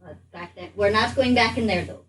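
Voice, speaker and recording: A woman voicing a bewildered but polite adventurer in a tabletop game, speaking in a halting, stammering way. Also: mid-range voice, a bit bright, lightly acted. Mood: hesitant. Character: bewildered, polite traveled adventurer in a strange body. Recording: distant mic, background noise.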